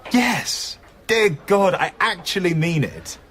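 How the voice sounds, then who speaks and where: Radio comedy role: earnest English comic, droll, plaintive, very varied intonation. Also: male voice, high-pitched, big pitch swings, slow pace, a bit bright, slightly rough, heavily acted. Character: British comedian Graham Chapman, earnest, wry recovering drinker. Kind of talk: radio comedy drama acting and narration.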